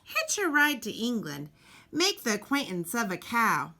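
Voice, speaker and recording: A woman reads a children's picture book aloud in a high, bright voice. Her delivery is slow and very animated, with dramatic emphasis and deliberate pauses. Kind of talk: expressive children's storybook read-aloud.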